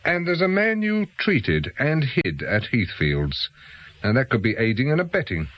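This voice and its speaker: An actor playing a shrewd ex-police inquiry agent with a British accent in a radio drama, blunt and probing. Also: male voice, mid-range voice, huge pitch swings, moderate pace, dull audio. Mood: pressing, confident. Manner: blunt, probing, matter-of-fact.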